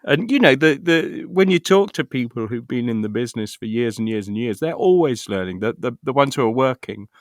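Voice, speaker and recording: A man's voice: medium pitch, lively intonation, brisk, few pauses, no room sound, studio quality. A middle-aged man with a British accent talking reflectively in an interview, thoughtful and hesitant, often restarting his sentences. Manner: hesitant, thoughtful, with repeated false starts. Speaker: interview guest, actor and writer.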